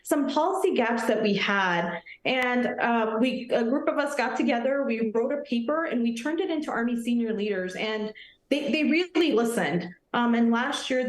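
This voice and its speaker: A woman's voice: a little high, reverberant, poor audio. A woman in her thirties from Nepal, an Army officer answering questions in an earnest, friendly way on a morning TV show. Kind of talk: morning TV interview.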